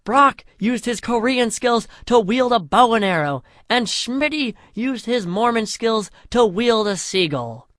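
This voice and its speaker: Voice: medium pitch, animated, measured pace, few pauses, a bit bright, no echo. A storyteller with a mid-range voice reads a comic fantasy tale as if it were a grand epic. The delivery is mock-solemn, and the sound is close and dry.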